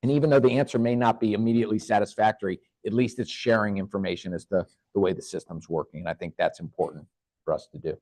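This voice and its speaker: A man with a fairly low voice speaks as a government official at a neighborhood meeting. He answers in an earnest, measured way and sometimes restarts his sentences. The sound is close and dry. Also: steady tone, darkish tone, no echo. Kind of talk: answering questions at a public meeting.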